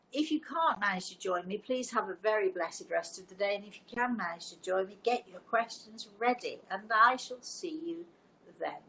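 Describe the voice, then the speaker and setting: An older woman hosting a casual livestream, chatting to viewers in a deliberate way with emphatic repetition. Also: medium pitch, creaky, a bit bright, low-quality recording. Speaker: live show host and storyteller. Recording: noticeable background noise.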